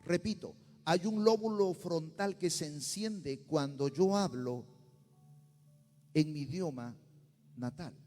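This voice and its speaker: A man's voice: on the high side, slow pace, long pauses, a little mellow, big-room sound, faint music behind. A male pastor with a Peruvian Spanish accent, preaching a sermon with rising intensity and bursts of speaking in tongues. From Peru. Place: church sanctuary.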